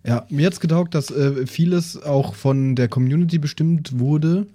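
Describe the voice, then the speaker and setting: A man's voice: on the high side, normal speed, mellow. A man co-hosting a podcast in casual chat, modest and a little tentative. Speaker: podcast co-host and role-player.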